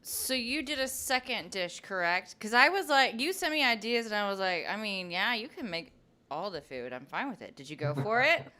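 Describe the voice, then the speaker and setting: A woman's voice: mid-range voice, very animated, moderate pace, sharp tone. A woman hosting a casual chat, playful and upbeat as she asks questions. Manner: playful, laughing, quotes others. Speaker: host.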